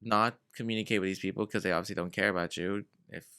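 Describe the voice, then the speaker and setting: Laid-back gravelly man giving advice. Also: lowish voice, fairly even intonation, fast talker, sharp tone. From Venezuela. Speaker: guest. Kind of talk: casual conversation.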